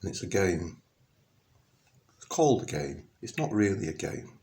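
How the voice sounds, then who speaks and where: A middle-aged man from England, talking to camera in a rambling personal monologue, halting with many ums and restarts, then bursting out emphatically. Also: low-pitched, sing-song, darkish tone. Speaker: vlogger.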